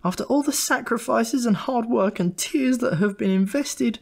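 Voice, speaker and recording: Man putting on a shrill, scolding mother. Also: normal speed, gravelly, full character voice. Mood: exasperated, preachy. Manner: pompous, exasperated, preachy. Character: angry, lecturing, self-righteous mother of a teenage boy.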